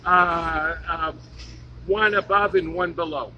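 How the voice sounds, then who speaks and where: A man answering a street preacher, calm and hesitant, pausing on his words and correcting grammar. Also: a little high, lo-fi sound, slight background noise. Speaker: passerby being questioned.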